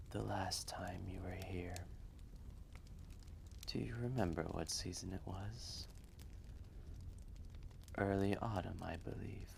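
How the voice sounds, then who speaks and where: A man voicing an ancient, gentle, wistful dragon telling a fireside roleplay story in a soft, breathy, measured and gravelly voice. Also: low voice, slight background noise, full character voice. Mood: gentle, reflective. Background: quiet fireplace ambience.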